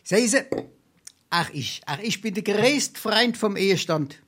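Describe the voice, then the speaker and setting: A penniless, wily braggart in a Hessian dialect comedy. His delivery swoops widely, with theatrical pauses and a thick local Darmstadt flavour as he spins tall tales. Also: high voice, lively intonation, a bit bright, full character voice. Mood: sly, confiding. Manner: theatrical, braggartly, hesitating for effect. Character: boastful, wily penniless male scrounger spinning tall tales. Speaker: stage actor.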